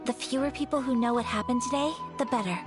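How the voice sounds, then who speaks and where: An actress playing a polite, earnest young noblewoman in a video game, speaking in a formal, measured and reserved way. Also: on the high side, natural voice. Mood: modest, earnest. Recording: game music behind the voice.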